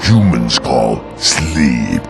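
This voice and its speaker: Gravelly, low orc shaman. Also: sing-song, very slow, heavily acted. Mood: solemn. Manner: broken pidgin speech in short clipped phrases. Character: exiled male orc shaman, grateful, solemn, primitive warrior. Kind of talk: video game character dialogue. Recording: loud music under the voice. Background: game music.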